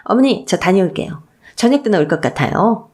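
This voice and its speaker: A woman's voice: mid-range voice, lively intonation, a little fast, darkish tone, lightly acted. A woman voicing a bossy, two-faced sister-in-law who breezily hands out chores in a radio story drama. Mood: falsely sweet, bossy. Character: bossy, two-faced elder sister-in-law, sweetly ordering others around.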